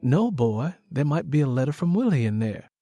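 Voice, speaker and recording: A man's voice: medium pitch, very animated, moderate pace, no room sound, faint music behind. Man voicing a firm, commanding country mother. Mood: firm, insistent. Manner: commanding, calling out loudly. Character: firm, worried rural Southern mother ordering her children. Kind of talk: audiobook character acting.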